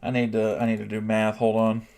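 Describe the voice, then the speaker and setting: A man, a streamer, chatting casually with his live chat, thinking out loud and often restarting his sentences. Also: fairly low pitch, monotone, fairly quick, creaky, clear, resonant tone.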